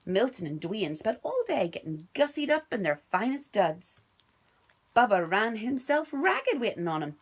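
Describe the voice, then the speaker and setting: A woman's voice: on the high side, very animated, measured pace. A woman reading a picture book aloud to her little son at home, playful and sing-song. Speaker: parent reading to a child.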